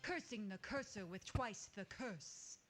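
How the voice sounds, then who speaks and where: A solemn riddle-chanting spirit. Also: female voice, mid-range voice, lively intonation, a bit bright, soft background music. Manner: slow, chanting delivery. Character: solemn woman reciting a riddle verse. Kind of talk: 1990s game cutscene voice acting.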